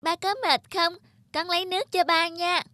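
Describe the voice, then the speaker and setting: A woman's voice: very high-pitched, steady tone, moderate pace, bright, forceful tone, no echo. A woman voicing a sweet, affectionate seven-year-old girl in a cartoon. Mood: sweet, excited. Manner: childlike, affectionate. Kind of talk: cartoon acting.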